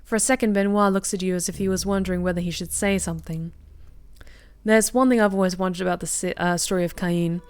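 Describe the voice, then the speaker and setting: Lower-voiced woman reading a game aloud. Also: fast talker, clear, resonant tone. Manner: expressive, flips into bursts of excited loud commentary. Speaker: streamer reading a visual novel aloud. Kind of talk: game narration with live reactions.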